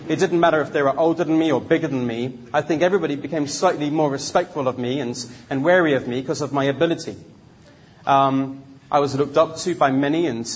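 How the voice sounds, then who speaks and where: Husky Londoner telling his life story, a man. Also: fairly high pitch. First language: Cantonese. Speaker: speaker giving personal testimony. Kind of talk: autobiographical storytelling to an audience.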